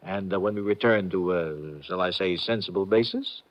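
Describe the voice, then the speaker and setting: An actor playing a smug, middle-aged crime boss in a 1950s radio drama, measured and mockingly polite in his menace. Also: low-pitched, lightly acted. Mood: smug resignation. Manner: measured, mockingly polite, echoing others' words. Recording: dull old radio recording.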